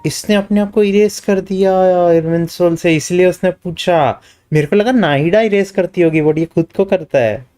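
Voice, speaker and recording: A man's voice: high-pitched, very fast, warm tone, faint music behind. A man with an Indian accent giving casual commentary as he livestreams a game. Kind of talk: casual game commentary mixing Hindi and English.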